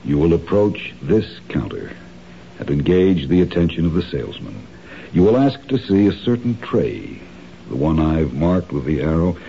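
Casting: a smooth, condescending crook with a low, very dark voice, 1970s radio play, dull broadcast sound. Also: male voice, big pitch swings, exaggerated voice. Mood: instructing. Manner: suave, patronizing, measured. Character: smooth, polite, scheming male criminal mastermind. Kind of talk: 1970s radio drama acting. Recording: dull lo-fi old radio broadcast, faint hiss.

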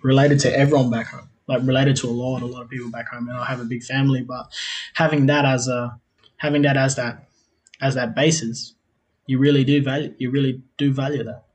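A man's voice: mid-range voice, level tone, brisk, rough recording. A young Australian man talks as a podcast guest, telling his story casually. His speech wanders, circles back on itself and leans heavily on "like" and "you know." He laughs easily between thoughts. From South Australia. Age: 20s. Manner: rambling, repeats himself, many "like" fillers, laughs often.

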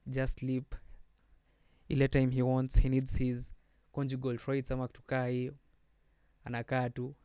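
A man's voice: mid-range voice, monotone, a little slow, long pauses, mellow, smooth, no room sound, clean studio sound. A young Kenyan man hosting a podcast, chatting casually and switching between English and Swahili slang. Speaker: podcast host. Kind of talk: casual solo podcast chat.

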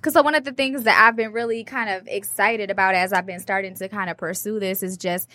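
A woman hosting a casual interview podcast, upbeat and chatty, firing off quick follow-up questions. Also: medium pitch, steady tone, sharp tone, no room sound. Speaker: podcast host.

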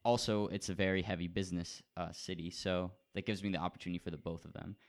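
A teenage boy with a very low, smooth voice chats casually as co-host of a podcast. He rambles with plenty of restarts and gets more animated when he tells a story. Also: fast. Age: teens. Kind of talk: casual chat.

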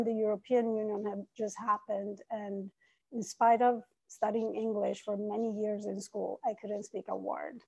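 A woman in her forties, raised in Iran and Italy, sharing her personal story as a podcast guest in an earnest, reflective, soft-spoken way. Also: mid-range voice, vocal fry, breathy edge, poor audio.

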